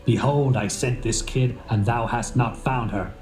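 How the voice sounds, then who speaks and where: An actor playing a mature, solemn and commanding biblical patriarch in a dramatised scripture reading, speaking in measured archaic phrases. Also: male voice, medium pitch, steady tone, a little mellow, close to an everyday voice. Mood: stern, sober. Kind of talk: dramatised King James Bible reading. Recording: music behind the voice.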